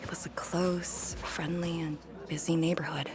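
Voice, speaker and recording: An actress playing a haunted, wistful young woman in a video game, speaking softly and hesitantly in a breathy near-whisper. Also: fairly low pitch, level tone, close to an everyday voice. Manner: slow, hesitant, pensive. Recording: music behind the voice. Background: background music.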